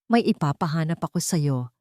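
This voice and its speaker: A woman's voice: low-pitched, lively intonation, moderate pace, darkish tone, no room sound, studio quality. A woman voicing a determined woman doctor in an audio drama, urgent, commanding and emotional.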